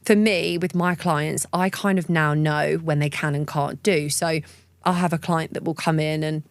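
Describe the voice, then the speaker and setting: Gravelly woman, earnest and chatty. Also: sits low, fairly even intonation, moderate pace, dry recording. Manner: earnest, chatty, often says "like" and "do you know what I mean". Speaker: guest, beauty business owner. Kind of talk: casual interview chat.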